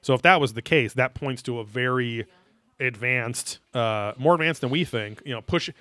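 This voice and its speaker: A man in his thirties talks as a podcast host in a loose, rambling recap style. His medium-pitched voice is recorded dry and close, and he swears casually as he goes, slipping into theatrical readings of quotes. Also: moderate pace, pushed and bright, no room sound. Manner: rambling, profane, reads quotes aloud theatrically. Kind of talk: casual episode recap chat.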